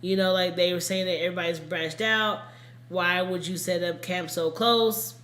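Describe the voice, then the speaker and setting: A woman's voice: lowish voice, steady tone, normal speed, fairly bright tone. A woman hosting a true crime podcast, telling a story in a casual, informal, emphatic way and repeating points for emphasis. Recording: echoey room, casual podcast recording.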